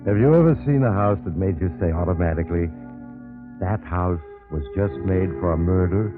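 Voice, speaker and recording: A man's voice: deep voice, moderate pace, music in the background, close to an everyday voice. A man playing a genial but sinister mysterious traveler, narrating an old radio mystery in a wry, theatrical manner. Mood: ominous, inviting. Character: mysterious male train traveler, genial, sinister teller of macabre tales. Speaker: narrator and host of mystery drama. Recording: muffled, noisy old radio recording.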